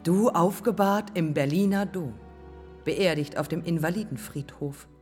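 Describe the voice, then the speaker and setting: An actress playing a proud, defiant dead pioneer aviator in a German radio drama, speaking in measured, matter-of-fact phrases. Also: female voice, fairly low pitch, darkish tone, in a large hall, faint music behind, lightly acted. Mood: defiant, reproachful. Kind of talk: German public radio drama, lyrical dialogue.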